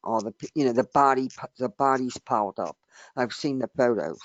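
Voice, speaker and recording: A man in his sixties from the area near Washington D.C., speaking in a halting, emphatic way with many restarts during a casual group discussion. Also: mid-range voice, no room sound. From United States, near Washington D.C. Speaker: open mic speaker. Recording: dry, dull lo-fi recording.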